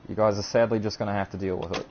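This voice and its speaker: A man's voice: on the low side, steady tone, very fast. A young man with a New Zealand accent chatting casually and self-deprecatingly to viewers while he streams a game. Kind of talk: casual live-stream commentary. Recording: dull lo-fi stream audio with background noise.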